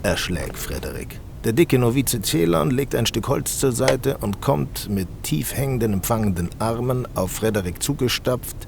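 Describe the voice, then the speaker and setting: A man's voice: medium pitch, very animated, normal speed, gravelly, few pauses, noisy background. A man narrating a scripted fantasy audio drama in a theatrical, sardonic storytelling style.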